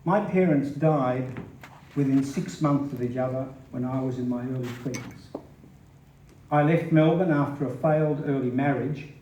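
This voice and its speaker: A man's voice: mid-range voice, normal speed, mellow, lo-fi sound, lightly acted. A man giving a reflective dramatic reading of an older person's memoir at a live indoor event. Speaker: stage reader. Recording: echoey hall, distant mic, faint hiss.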